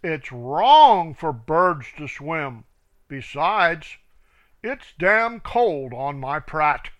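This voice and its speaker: A cheeky young duckling talking back, voiced by a male storyteller. The tone is flippant and defiant, bright and punchy, delivered slowly for effect. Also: fairly high pitch, big pitch swings, exaggerated voice.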